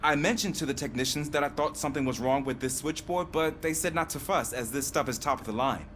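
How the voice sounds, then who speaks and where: An actor playing an earnest, conciliatory police dispatcher in a video game. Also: male voice, on the high side, quick pace, fairly bright tone, slight background noise, close to an everyday voice. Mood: earnest, helpful.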